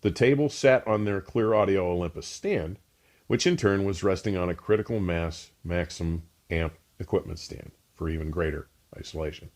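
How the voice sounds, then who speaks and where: A man's voice: low-pitched, moderate pace, a little mellow. A man hosting a scripted audio gear review, speaking in an emphatic, polished, enthusiastic way. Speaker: audio equipment reviewer and show host. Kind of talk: scripted product review narration.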